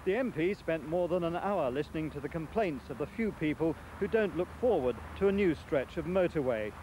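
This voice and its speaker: A man's voice: fairly high pitch, expressive, brisk, dark tone. A man delivering a scripted television news report. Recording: dull sound, faint outdoor traffic noise.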